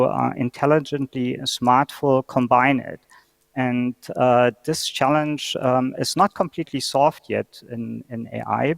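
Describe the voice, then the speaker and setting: A man with a gravelly, creaky voice gives explanatory remarks as an academic panelist. He thinks aloud and hesitates as he goes. The sound is dry, with hardly any room around the voice. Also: medium pitch, no echo.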